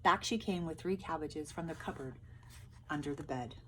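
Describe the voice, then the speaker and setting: A woman's voice: sits low, moderate pace, slight background noise, low-quality recording. A woman teacher reading a picture book aloud expressively, with emphatic delivery and questions for her listeners.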